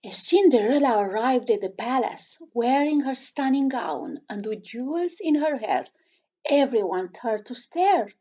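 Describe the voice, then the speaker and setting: A woman's voice: fairly high pitch, lively intonation, measured pace, mellow. A woman reading a children's storybook aloud, expressively, chatting to young listeners with questions and asides.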